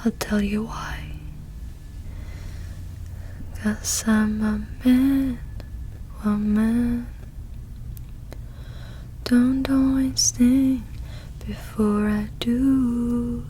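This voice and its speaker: A woman doing ASMR, softly singing and whispering song lyrics in a breathy, intimate way. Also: fairly high pitch, steady tone, drawn-out words, pauses often, a little hiss or hum. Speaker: ASMR performer.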